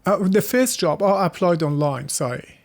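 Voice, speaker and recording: Afghan-accented man, slightly creaky, higher-pitched voice. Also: lively intonation. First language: Hazaragi. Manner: halting, searching for words in non-native English. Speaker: interviewee. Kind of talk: casual interview conversation.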